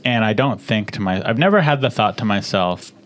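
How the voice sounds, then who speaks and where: Low-voiced man, teasing chat host. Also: expressive, a little fast. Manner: teasing, playful interviewer. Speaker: comedian podcast host. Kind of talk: casual podcast chat.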